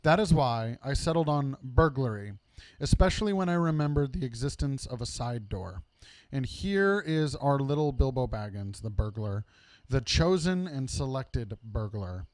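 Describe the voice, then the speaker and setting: Man playing an old male wizard, storybook character, wise, measured, matter-of-fact, dry close recording. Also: medium pitch, lively intonation, a little mellow, no echo, close to an everyday voice.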